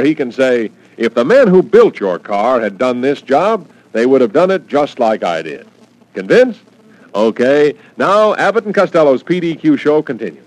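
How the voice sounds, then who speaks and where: A man reading a commercial in an emphatic, deliberate 1940s radio announcer style. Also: medium pitch, a little mellow, rough recording.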